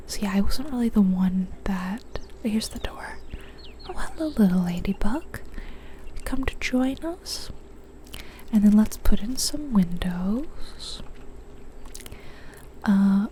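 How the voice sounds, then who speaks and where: A young woman whispers unhurried ASMR commentary while building in a game, her breath-only voice soft and mellow. She sounds a little sniffly from a lingering cold and muses aloud to herself. A faint hiss sits under the recording. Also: medium pitch. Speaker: ASMR gaming streamer.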